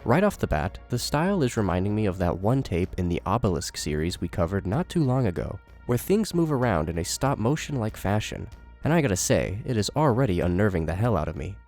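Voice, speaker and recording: A man's voice: lowish voice, very animated, fairly quick, darkish tone, soft background music. A man giving scripted narration and commentary as a horror series commentator.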